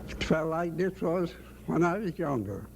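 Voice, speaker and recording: A man's voice: fairly high pitch, expressive, normal speed, creaky. A man of ninety-five answering casually in an interview as a retirement home resident.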